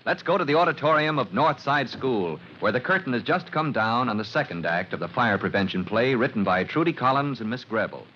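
A man's voice: medium pitch, lively intonation, a little fast, hardly any pauses, pushed and bright. A man announcing and narrating an old-time radio drama in a theatrical, declamatory style. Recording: muffled, hissy old radio broadcast.

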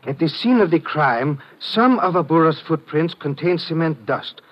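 High-ish voiced, formal police lieutenant man. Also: expressive. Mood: intent, puzzled. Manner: formal, measured, precise wording without contractions. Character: polite, methodical male police lieutenant from the Middle East. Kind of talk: old-time radio detective drama acting. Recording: dull, noisy vintage radio recording.

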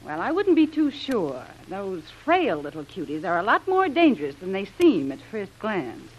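A dull vintage radio recording of a sly, hard-edged adventuress. She is jealous and sardonic, insinuating in lively swoops at a medium pitch. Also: normal speed. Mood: jealous, scornful. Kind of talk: 1930s radio serial acting. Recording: muffled old radio recording.

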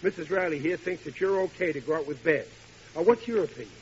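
An old hissy radio comedy brings a blustering, dim working-class dad acted by a man. His voice sits high for a man, full of indignant, folksy bad grammar. The sound is dull and vintage. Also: fast, natural voice. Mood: stern, angry. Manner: indignant, folksy, ungrammatical speech. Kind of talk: 1940s radio sitcom acting.

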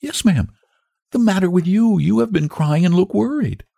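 A man's voice: high voice, animated, moderate pace, gravelly, warm tone, no room sound, studio quality, pitched above the performer's own voice, exaggerated voice. A man voicing an innocent, earnest and polite little girl in a storybook, guileless and sweetly matter-of-fact. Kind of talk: storybook character voice.

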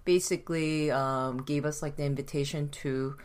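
Woman, fifties, born in Korea, Korean first language, low voice, reminiscing, halting. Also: fairly even intonation, darkish tone, clear, resonant tone. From South Korea. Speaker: podcast guest. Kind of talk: casual chat.

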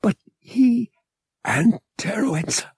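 A man's voice: high-pitched, very animated, takes it slowly, gravelly, a little mellow, slightly rough, dry recording, studio quality, voice pushed up, exaggerated voice. A man voicing an anxious young woman in an audiobook, gasping her words breathlessly in short bursts. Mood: breathless distress. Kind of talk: audiobook character acting.

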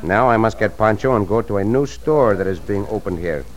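An actor playing a courteous, confident Mexican cowboy adventurer in an old radio western, speaking formal English sprinkled with Spanish. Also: male voice, fairly low pitch, animated, brisk, close to an everyday voice. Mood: serious, planning. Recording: hissy vintage radio recording.

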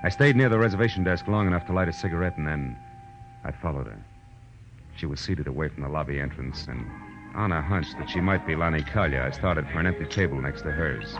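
Very low-voiced American private eye, hard-boiled, wry, clipped, world-weary, old radio drama, muffled recording with music behind. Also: male voice, fairly quick. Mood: watchful. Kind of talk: 1940s radio detective acting, first-person narration.

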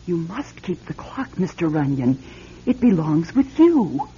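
An actress playing an earnest, insistent older woman who appraises antiques in a classic radio drama, with a raspy voice. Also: lowish voice, big pitch swings, measured pace, dark tone, full character voice. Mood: dismayed, insistent. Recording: dull, lo-fi old radio recording.

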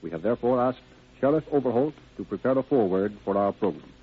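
A man's voice: medium pitch, expressive, fast, slightly rough. A man announcing and narrating a scripted 1930s radio program in a formal, salesmanlike manner. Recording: tinny 1930s radio recording.

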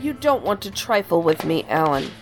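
A woman's voice: on the low side, very animated, normal speed, over music. A woman playing a stern, cold, commanding deputy director in a science fiction audio drama. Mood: icy, cutting. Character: stern, imperious female deputy director of a federal agency.

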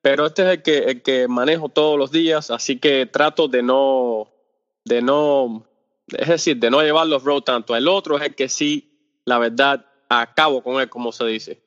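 A Cuban man answers interview questions in a relaxed, unhurried way, stumbling through fillers and restarting half-finished thoughts. His voice sits in the middle range and carries a long reverb tail. The whole recording sounds dull and lo-fi. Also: medium pitch. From Cuba. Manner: hesitant, searches for words with many fillers and restarts. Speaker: podcast guest, off-road video creator. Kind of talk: casual interview talk.

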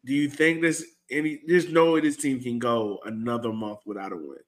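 A man with a medium-pitched voice hosts a casual sports fan show. He talks informally and conversationally, as in a relaxed chat among supporters. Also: moderate pace. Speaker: host of a soccer fan podcast.

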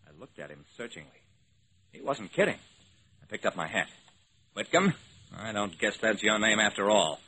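A man's voice: lowish voice, very animated, normal speed, pauses often, bright, forceful tone, breathy edge, heavily acted. An actor playing a wry, level-headed investigator, narrating his case in a clipped, matter-of-fact way in an old-time radio drama. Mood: soothing, searching. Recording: noisy old radio recording.

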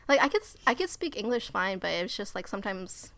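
A woman's voice: mid-range voice, big pitch swings, fast talker, a bit bright. A young adult woman whose first language is Cantonese, talking casually in a hesitant way with many fillers and trailing-off phrases. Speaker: participant. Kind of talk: casual conversation.

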